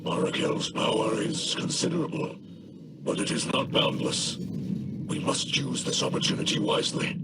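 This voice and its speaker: A man playing a noble alien warrior captain in a video game, speaking in a formal, measured, grave manner. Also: fairly low pitch, big pitch swings, creaky. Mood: solemn. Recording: loud background noise.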